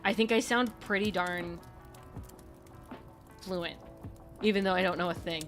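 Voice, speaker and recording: Slow-talking chatty woman streamer. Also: fairly low pitch, fairly bright tone, faint music behind. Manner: chatty, rambling, laughs often. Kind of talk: casual chat.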